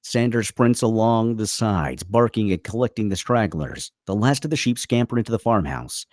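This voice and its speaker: A man with a low voice narrates a screenplay, reading scene headings and action. His delivery is dramatic with lively swings in pitch, recorded close and dry. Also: normal speed, dry recording. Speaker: narrator reading stage directions. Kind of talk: dramatic scripted narration at a table read.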